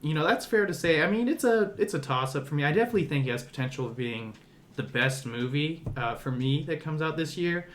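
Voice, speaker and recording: A man's voice: a little high, lively intonation, fairly quick, fairly bright tone, slight background noise. A young man hosting a podcast, discussing movies casually and conversationally, often padding his points with small hedges. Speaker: podcast host.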